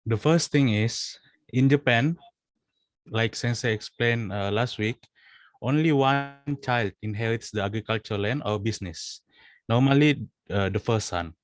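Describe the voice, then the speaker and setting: A young man from Indonesia speaks as a student presenter in an online class. He talks in a halting way, restarting phrases and filling pauses. His voice sits at a middling pitch, recorded dry and close. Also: no room sound. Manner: hesitant, many fillers and restarts.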